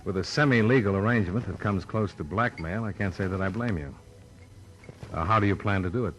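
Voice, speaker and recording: An actor playing a skeptical, hard-boiled insurance investigator in an old radio noir drama, speaking in a dry, blunt, sardonic way. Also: male voice, sits low, a little fast, natural voice, low-quality recording. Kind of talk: 1950s radio noir acting and narration. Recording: old radio recording with background music.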